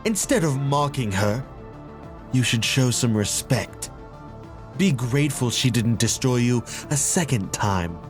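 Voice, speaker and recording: A man plays a young school boss in a comic dub, cold and condescending. He speaks slowly and deliberately with quiet menace, over prominent music. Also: medium pitch, expressive, natural voice. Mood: cold, threatening. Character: cold, commanding, condescending teenage school leader. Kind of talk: amateur comic dub acting.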